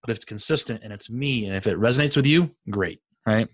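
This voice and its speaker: A man's voice: on the low side, quick pace, breathy edge, dry recording. A man hosting a podcast interview in an informal, rambling, affirming way. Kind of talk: casual conversational interview.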